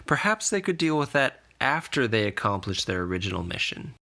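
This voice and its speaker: A man narrates a scripted fantasy adventure. His voice sits low and has a creaky, gravelly grain. He tells the story in measured, deliberate sentences. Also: big pitch swings, sharp tone. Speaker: podcast narrator.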